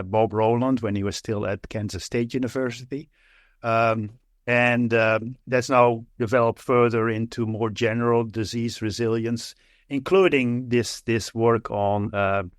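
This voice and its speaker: An older man with a Dutch accent, speaking haltingly as a professor in a conversational interview. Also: on the low side, dry recording, clean studio sound. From Netherlands. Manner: halting, hesitant delivery. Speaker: guest expert, professor.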